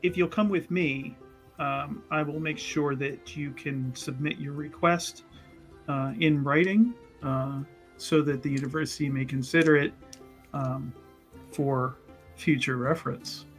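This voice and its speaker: A man running a tabletop roleplaying game, improvising narration and dialogue in a hesitant, halting way. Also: on the high side, steady tone, soft background music. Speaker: game master.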